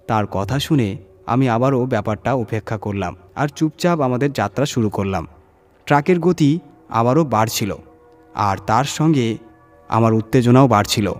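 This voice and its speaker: A man's voice: mid-range voice, darkish tone. A man reading a scripted first-person horror story as its narrator. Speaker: story reader. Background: background music.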